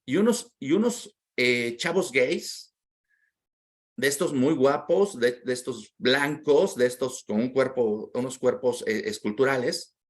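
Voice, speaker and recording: A man's voice: mid-range voice, measured pace. A man as an interview guest, telling a personal story in a heartfelt, reflective way and often repeating words while he searches for them.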